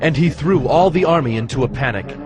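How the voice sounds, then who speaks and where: A man narrating dramatized scripture in a solemn, measured storytelling manner. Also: on the high side, lively intonation. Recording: clear music behind the voice.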